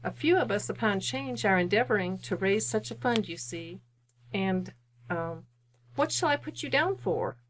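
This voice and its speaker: A woman's voice: mid-range voice, animated, moderate pace, a little hiss or hum, lightly acted, rough recording. An actress playing a polite, earnest, genteel lady collecting for charity, formal and courteous, in old-time radio drama style. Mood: earnest, persistent.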